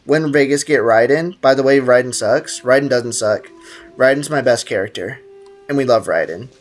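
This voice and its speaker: A man's voice: medium pitch, normal speed, soft background music. A man hosting a casual gaming livestream, talking to his chat in playful slang and prone to exaggerated outbursts. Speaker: live streamer hosting a gaming stream. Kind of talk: casual gaming livestream chat, reading viewer comments aloud.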